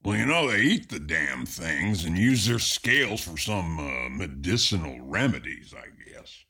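Male co-host, deep and raspy with lively inflection, opinionated casual talk. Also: unhurried. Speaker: podcast co-host. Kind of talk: casual chat.

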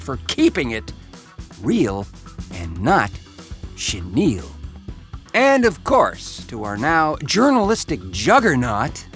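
A man's voice: mid-range voice, fairly bright tone. A middle-aged American man hosting a casual comedy podcast, with a wry, wordy, mock-grandiose way of talking. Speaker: podcast host.

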